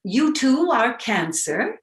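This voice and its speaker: A woman's voice: a little high, sing-song, takes it slowly. A woman playing a bossy, determined older woman in a staged reading of a play. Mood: bossy, coaxing. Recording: roomy, echoey sound.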